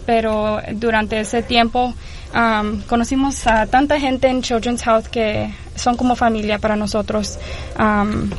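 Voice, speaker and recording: A woman's voice: on the high side, steady tone, unhurried, a little hiss or hum. A young woman from Houston telling her story as an interview guest, hesitant and full of filler sounds. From Houston, Texas. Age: young adult. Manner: hesitant, many filler sounds, mixes English terms into Spanish. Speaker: guest, mother of a patient. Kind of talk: personal account in an interview.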